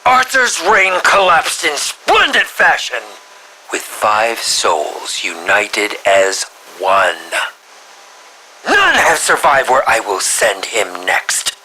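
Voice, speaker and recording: An actor voicing a melodramatic, sinister film director villain in a video game, drawing out his lines and dropping into breathy whispers. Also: medium pitch, wildly swooping pitch, sharp tone, a little hiss or hum, heavily acted, poor audio. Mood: gleeful menace. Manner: melodramatic, drawn-out delivery with breathy whispered drops. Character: theatrical, sinister mad male film director villain, grieving and menacing. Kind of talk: scripted game villain monologue.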